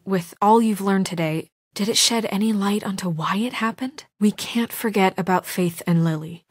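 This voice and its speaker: An actress playing a stern, principled young woman leader in a video game, earnest, measured and formal, weary but composed. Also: mid-range voice, dry recording, studio quality, natural voice. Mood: troubled, searching. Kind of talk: dramatic video game voice acting.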